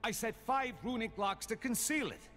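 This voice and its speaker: A man's voice: extremely high pitch, normal speed, loud music behind the voice. An actor playing an earnest, forgetful male sorcerer in a video game cutscene, with theatrical, urgent spellcasting delivery.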